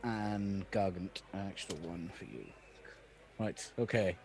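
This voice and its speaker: A man's voice: low voice, slow, a little mellow, soft background music. A man from Wales chatting casually as a player on a game stream. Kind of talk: casual chat.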